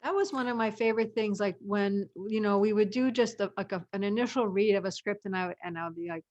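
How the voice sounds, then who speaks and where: A woman's voice: mid-range voice, fast, darkish tone, muffled recording. A woman from an audio drama cast, chatting casually and informally in a group discussion. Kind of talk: casual panel chat.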